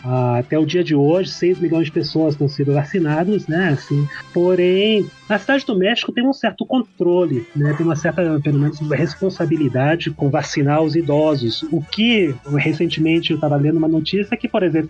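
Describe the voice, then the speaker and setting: A Brazilian man in his thirties, a sociologist guest chatting casually on a podcast, easygoing and quick to laugh. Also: a little high, a little mellow, lo-fi sound, faint music behind. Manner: easygoing, laughs often, many "né" tags.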